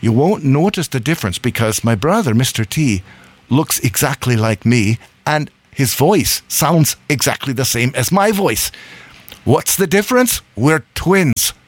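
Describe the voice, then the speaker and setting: A man's voice: mid-range voice, lively intonation, a little slow, no room sound. Rambling male radio host. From Scotland. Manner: rambling, chuckling, self-correcting. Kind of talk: casual live radio chat. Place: hot radio studio.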